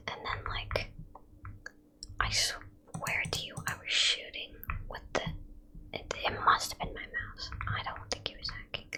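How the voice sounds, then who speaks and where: A young woman whispering a rambling, chatty commentary while playing a video game, quick to get frustrated with opponents. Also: poor audio. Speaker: gaming video creator.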